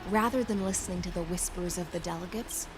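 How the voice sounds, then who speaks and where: Low, courtly female envoy voiced by an actress. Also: loud music behind the voice, close to an everyday voice. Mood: courteous, inviting. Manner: measured, deferential, formal. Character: courtly, enigmatic female envoy of an emperor, composed. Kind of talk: video game voice acting.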